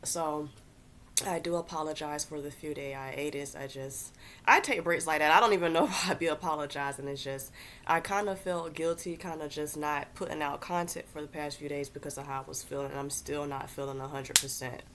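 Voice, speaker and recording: Female host, low register, stuffed-up sound, informal and halting unscripted delivery, slight rumbly background noise. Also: fairly even intonation, a bit bright. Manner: halting, searching for words, repeats herself. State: congested. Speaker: astrology content host. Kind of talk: casual unscripted explainer.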